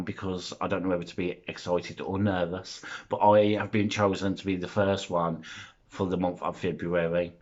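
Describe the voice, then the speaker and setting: A man in his thirties, chatty and self-deprecating, who rambles through a vlog with plenty of ums and uhs. His voice sits very low, and the recording sounds a bit dull and lo-fi. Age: 30s. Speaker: YouTuber. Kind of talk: casual vlog chat.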